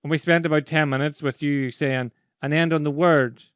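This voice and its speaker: A man's voice: a little high, moderate pace, clean tone, no echo, clean studio sound. An Irish man in casual conversation, telling stories animatedly and laughing as he talks. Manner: animated storyteller, laughs while talking. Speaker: podcast host and speaking coach.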